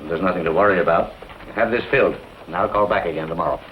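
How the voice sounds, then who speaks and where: An actor playing a brisk, reassuring country doctor in an old western drama, on a dull, noisy recording. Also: male voice, low-pitched, lightly acted.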